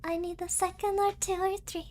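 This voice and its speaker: A woman's voice: extremely high pitch, flat delivery, a little fast, a little mellow, slight background noise. A woman with a cutesy, childlike voice chatting on a livestream, hesitant and flustered, stammering and repeating herself. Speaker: streamer thanking donors. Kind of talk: casual livestream chat.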